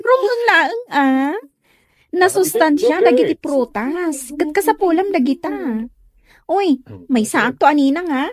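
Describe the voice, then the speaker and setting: A woman playing an excitable, chirpy, friendly busybody of a friend in a comedy audio drama. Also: high voice, slow pace, dry recording.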